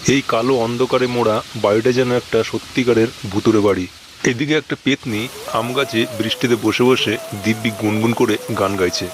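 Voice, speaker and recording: A man's voice: mid-range voice, fairly even intonation, normal speed. A man narrating a scripted horror cartoon story. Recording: noisy recording with background ambience.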